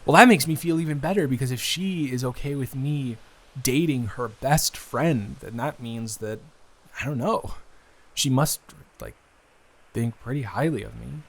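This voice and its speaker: A man acting as a smitten young man in an intimate romantic audio drama. He talks slowly at a medium pitch, halting and flustered as he feels his way through his phrases. The delivery is conversational and improvised. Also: a bit bright. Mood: surprised, pleased. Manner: halting, flustered, with sighs, gasps and laughs. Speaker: audio roleplay performer.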